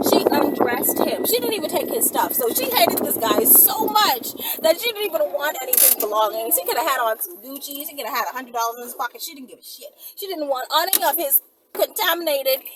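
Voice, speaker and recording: A woman chatting casually as a storytelling vlogger, with slangy, emphatic phrasing and lots of repetition for effect. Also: sits high. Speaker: vlogger telling stories to followers. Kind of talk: casual storytelling vlog chat. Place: out and about, on the move in town. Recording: distant mic, noisy vehicle ambience.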